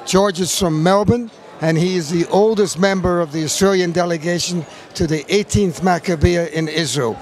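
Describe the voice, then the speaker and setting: A man's voice: high-pitched, normal speed, hardly any pauses. A man interviewing an older guest outdoors, asking polite, leading questions. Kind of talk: broadcast interview.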